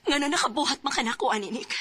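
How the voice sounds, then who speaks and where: A jealous wife in a radio drama, with a high, very bright and piercing voice. She throws accusations at her partner. Faint noise sits under the line. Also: animated, very fast. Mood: accusing. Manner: accusing, sharp. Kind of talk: Cebuano radio drama acting.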